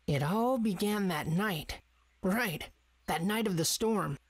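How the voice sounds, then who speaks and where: Husky, earnest young boy. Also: mid-range voice, takes it slowly, no room sound. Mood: wistful, narrating. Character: earnest, cheerful young boy, a workshop apprentice. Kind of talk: scripted game voice acting.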